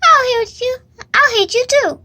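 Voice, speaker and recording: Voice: extremely high pitch, measured pace, pushed and bright, pitched above the performer's own voice, exaggerated voice. A young female amateur voicing a hot-tempered, melodramatic cartoon girl critter who threatens and declares hate. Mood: angry, threatening. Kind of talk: amateur character voice acting.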